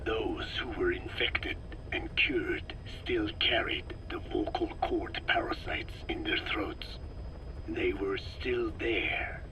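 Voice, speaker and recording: An actor playing a grave, learned old scientist in a game, explaining deliberately in a rasping, breathy half-whisper. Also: male voice, on the low side, exaggerated voice, low-quality recording. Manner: didactic, deliberate. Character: elderly male parasite scientist, learned, measured, grave. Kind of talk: expository dialogue in dramatic game voice acting.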